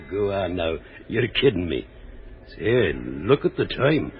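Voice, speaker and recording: An actor playing a gruff, blustering beat policeman in old radio drama style, colloquial in speech, on a muffled recording. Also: deep voice, sing-song, slow pace, a little hiss or hum, heavily acted. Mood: breezy. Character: blustering, slangy male beat policeman, easily cowed. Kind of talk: 1930s-40s radio drama acting.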